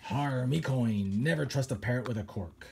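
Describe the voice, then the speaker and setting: A man voicing a boisterous grown pirate captain who speaks in gruff exclamations in a read-aloud storybook. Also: medium pitch, moderate pace, a little mellow, a little hiss or hum, voice pushed down, natural voice. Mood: gruff boasting.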